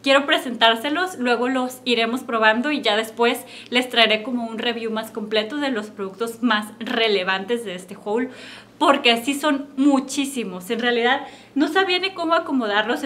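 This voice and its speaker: A young woman with a Mexican accent, chatting casually to camera about makeup she bought, recorded at home in a bright room. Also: a little high. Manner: chatty and upbeat, friendly direct address. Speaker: beauty vlogger.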